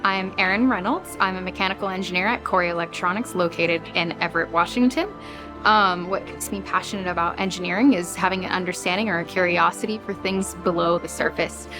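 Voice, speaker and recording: A woman's voice: lowish voice, a little fast. A woman, giving a short self-introduction as an engineer. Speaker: panelist, mechanical engineer. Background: music.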